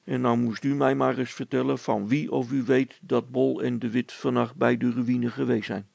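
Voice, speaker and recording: A man plays a plain-spoken, stubborn village policeman in a storybook. He is earnest and emphatic, in a gravelly, rough voice recorded dry and close. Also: medium pitch, flat delivery, moderate pace, darkish tone, no room sound. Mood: indignant, stubborn. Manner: blunt, emphatic.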